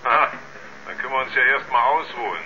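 An actor playing a young astronaut pilot in a 1970s radio drama, giving casual, clipped reports. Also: male voice, mid-range voice, fast talker, dull audio, slight background noise, lightly acted. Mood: cheerful. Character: relaxed, matter-of-fact young male astronaut pilot. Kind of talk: 1970s radio play acting. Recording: band-limited space radio sound, music behind.

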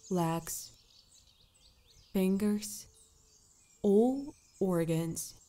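A woman guiding a meditation with scripted instructions, speaking in a calm, soothing, measured way with long pauses. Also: medium pitch. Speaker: meditation guide.

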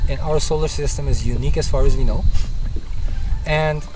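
A man's voice: fairly high pitch, steady tone, fairly quick, background noise. A middle-aged man with a Curaçaoan accent explaining things informally and earnestly to camera as a local guide. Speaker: kayak guide and conservationist. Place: moving car and outdoor mangrove shore.

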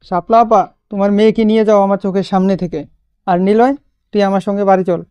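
Stern, clear-voiced domineering father, acted by a man. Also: high voice, steady tone, fast talker, a little mellow, no echo, lo-fi sound, natural voice. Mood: commanding. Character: stern, domineering middle-aged father, angry and unbending. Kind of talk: dramatized audio love story.

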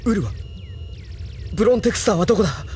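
A man's voice: high voice, very animated, quick pace, darkish tone, slight background noise. A man playing a brave, idealistic young mecha pilot in an audio drama, thinking aloud dramatically. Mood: focused. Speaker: voice actor in radio drama. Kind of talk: anime-style audio drama acting.

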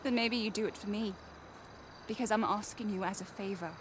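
An actress playing a composed young heiress who speaks formally and precisely. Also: female voice, mid-range voice, brisk, a little hiss or hum, lightly acted. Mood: earnest. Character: poised young female heiress, cool and determined. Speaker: casino heiress.

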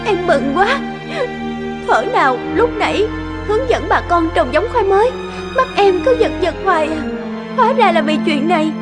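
A woman playing a devoted, tender young wife in an animated drama, speaking gently and earnestly. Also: very high voice, normal speed. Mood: joyful relief. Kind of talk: animated cartoon drama acting. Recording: music behind the voice. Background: music.

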